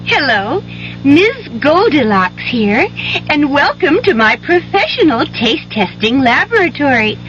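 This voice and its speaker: A perky, fussy fairy-tale girl in a 1970s radio ad. Her voice is high and very lively in pitch, on a muffled vintage recording. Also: a little slow, faint music behind, full character voice. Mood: perky, bossy. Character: perky, fussy Goldilocks as taste tester. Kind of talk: 1970s radio commercial acting.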